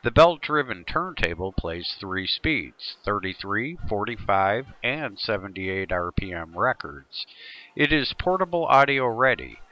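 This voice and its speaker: A man's voice: on the low side, sing-song, a little slow, muffled recording. A man presenting a tutorial in scripted, instructional narration.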